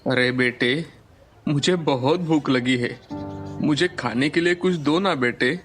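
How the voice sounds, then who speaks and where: A man's voice: medium pitch, slow, a bit bright, soft background music. A man voicing a hungry old beggar who pleads in a moral story. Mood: pleading.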